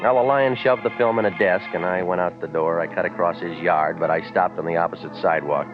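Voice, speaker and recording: An actor playing a cynical, wisecracking private detective in a 1940s radio drama, clipped and dry. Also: male voice, deep voice, fairly quick, lightly acted. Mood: dry, narrating. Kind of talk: 1940s radio acting, noir narration. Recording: lo-fi 1940s radio, music behind.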